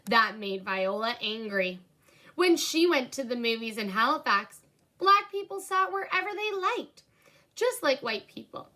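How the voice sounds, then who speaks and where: Young female storybook reader, bright tone, unhurried and expressive. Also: on the high side. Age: young adult. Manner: animated, clearly paced storytelling. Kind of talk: expressive children's read-aloud narration with asides. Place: indoor room at home by a window.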